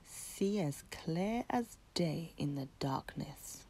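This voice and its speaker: A woman narrates a children's storybook with very lively intonation. Her pace is unhurried and deliberate, and there is noticeable fry in her voice. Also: low-pitched.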